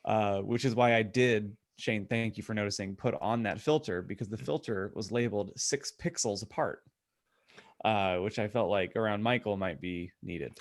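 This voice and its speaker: A man's voice: lowish voice, moderate pace. A man co-hosting a comedy podcast, chatting casually with sarcastic teasing. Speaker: podcast co-host.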